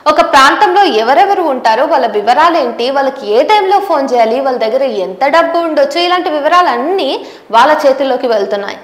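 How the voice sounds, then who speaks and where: A woman's voice: high voice, lively intonation, fairly quick. A woman journalist, presenting a scripted news explainer directly to viewers. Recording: roomy, echoing voice recording.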